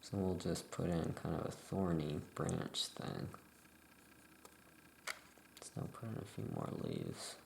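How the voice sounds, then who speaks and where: A soft-spoken ASMR host murmuring thoughts aloud in halting short phrases, close to the microphone, while writing. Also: sits low, huge pitch swings, vocal fry, a little hiss or hum. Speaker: ASMR host playing a witch shopkeeper.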